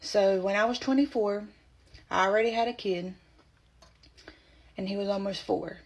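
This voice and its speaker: Woman, strained choppy voice, halting bursts, blunt, casual storytelling vlog. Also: mid-range voice, fairly even intonation. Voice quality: strained, choppy voice from spasmodic dysphonia. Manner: blunt, indignant, talks in short halting bursts. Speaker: vlogger. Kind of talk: casual personal storytelling to camera.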